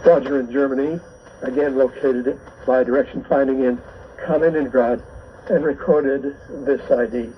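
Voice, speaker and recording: A man hosting a radio program, reading scripted listener reports in a measured, bulletin-like way over a shortwave broadcast. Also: mid-range voice, steady tone, highs cut off. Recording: noisy, band-limited shortwave radio reception.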